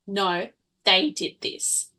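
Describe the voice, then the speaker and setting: Woman as forceful, commanding wasteland survivor. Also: mid-range voice, animated, very slow, exaggerated voice. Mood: defensive. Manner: firm, imperative. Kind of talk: tabletop roleplaying character voice.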